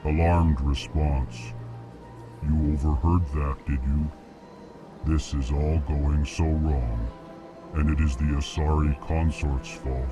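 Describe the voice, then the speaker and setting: An actor playing a slow, formal, anxious alien diplomat with a monotone delivery in a video game. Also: male voice, a bass voice, over music. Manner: monotone delivery, announces own emotional tone before sentences.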